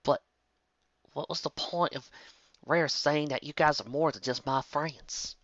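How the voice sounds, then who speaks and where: A woman's voice: sits low, steady tone, measured pace, no echo, voice pushed up. A woman voicing an earnest farm pony mare, in a dull lo-fi home recording. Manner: earnest, emotional, folksy.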